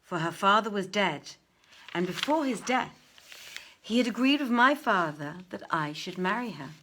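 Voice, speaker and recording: A woman with a mid-pitched voice reads archaic literary prose aloud. She phrases deliberately and leaves dramatic pauses, in the expressive manner of a storyteller. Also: slight background noise.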